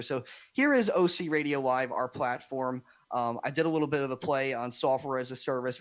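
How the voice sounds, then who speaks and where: An American man presenting technical slides in an informal, explanatory way, as a speaker at a conference. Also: mid-range voice.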